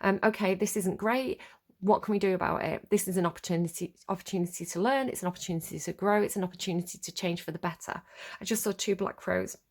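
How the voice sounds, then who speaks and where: A woman's voice: on the low side, fairly even intonation, quick pace, darkish tone. A woman with a sniffly, stuffed-up voice giving a casual tarot reading, rambling and searching for words with many ums and likes. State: sniffly from a cold. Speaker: tarot reader.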